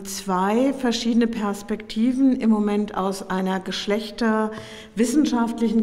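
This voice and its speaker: A middle-aged woman delivers a public academic lecture in a formal, explanatory manner. Her voice sits at a medium pitch and is a little hoarse with a cold. She speaks into a podium microphone in a hall with long reverb. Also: dark tone. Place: indoor lecture room at a podium.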